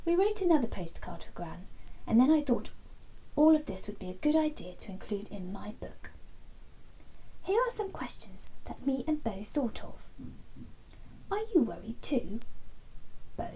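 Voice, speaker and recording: Mellow, high-voiced woman reading a bedtime story. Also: sing-song, measured pace, pauses often, slightly rough, poor audio. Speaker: children's bedtime story reader. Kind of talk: storybook read-aloud. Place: small indoor den with fabric and soft toys.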